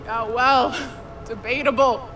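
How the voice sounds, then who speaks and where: A woman's voice: on the high side, fairly even intonation, takes it slowly, fairly bright tone, a little hiss or hum. An actress in an audio drama playing a remorseful, caring young woman who talks earnestly and plainly, with a wry streak. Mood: gentle, sad.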